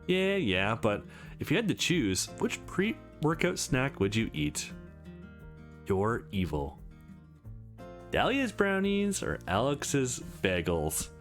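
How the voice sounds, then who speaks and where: A man's voice: medium pitch, huge pitch swings, slow. A man voicing playful, competitive young women from a visual novel in a teasing, sing-song way, with music behind. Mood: playful challenge.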